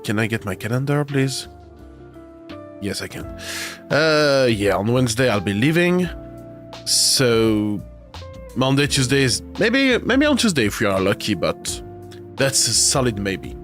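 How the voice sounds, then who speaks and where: Young male streamer, sick with a cold, congested and scratchy, chatty and lively in pitch. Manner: chatty, swearing, self-mocking, with filler sounds. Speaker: streamer playing a video game. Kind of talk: casual live-stream chat.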